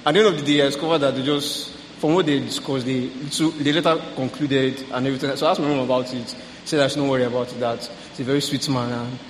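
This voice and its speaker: A young man sharing about his family in a relaxed, halting way with fillers. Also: mid-range voice, a little hiss or hum. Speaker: panel participant. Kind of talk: casual sharing. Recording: echoey hall with faint ambience.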